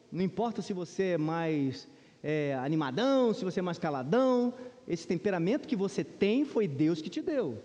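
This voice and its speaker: A man's voice: sits high, dark tone, lo-fi sound. A man with a Brazilian accent giving an informal, conversational Bible lesson to a congregation, often turning to them with questions and rhetorical tags. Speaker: Sunday school teacher at a church. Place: large church room, speaking to a congregation. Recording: reverberant hall with long echo.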